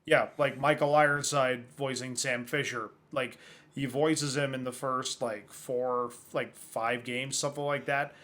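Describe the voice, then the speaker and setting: A man's voice: mid-range voice, fairly even intonation, unhurried, fairly bright tone. Rambling male podcast co-host. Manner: rambling, many filler likes. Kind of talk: casual chat about films and games.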